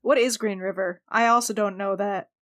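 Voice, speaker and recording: A woman's voice: mid-range voice, steady tone, normal speed, dry recording, clean studio sound. A woman chatting informally as a podcast player, swearing casually. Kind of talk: casual chat.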